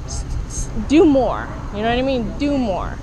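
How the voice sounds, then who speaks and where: A woman with a somewhat high voice speaks earnestly and insistently, circling back to her points. She is recorded outdoors in a parking lot on a body-worn camera. Crowd noise carries in the background. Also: big pitch swings, normal speed, rough recording. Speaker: abortion abolitionist activist. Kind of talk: outdoor street conversation.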